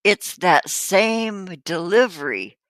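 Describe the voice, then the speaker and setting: A woman co-host in a casual reaction chat, amused and chatty, with drawn-out asides. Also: medium pitch, expressive, a bit bright, no echo, studio quality.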